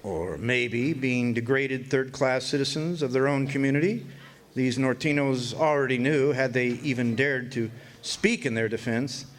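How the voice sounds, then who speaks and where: A man's voice: mid-range voice, slight background noise, close to an everyday voice. A man playing a wry, folksy narrator who recalls an old frontier court case in a radio drama, with hesitant storytelling.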